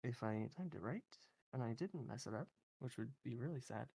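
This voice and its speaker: A woman giving casual, halting live commentary as a speedrunner, in an informal way with frequent chuckles. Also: extremely low pitch, animated, creaky, dark tone, breathy edge.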